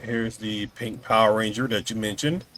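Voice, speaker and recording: A man's voice: lowish voice, steady tone, moderate pace, fairly bright tone. A man hosting a casual podcast interview in an easygoing way with short reactions. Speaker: podcast host.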